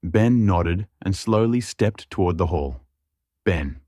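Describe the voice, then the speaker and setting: A man's voice: low-pitched, a little slow, warm tone, no room sound, clean studio sound. A man reading scripted fantasy fiction as a storyteller. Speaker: storyteller, narrator.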